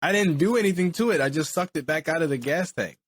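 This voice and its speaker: A man's voice: a little high, lively intonation, quick pace, crowd noise. A young man with a Philadelphia accent, sick, hosting a podcast in casual joking chat that is full of laughs. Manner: joking, laughs often, rambling. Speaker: podcast host.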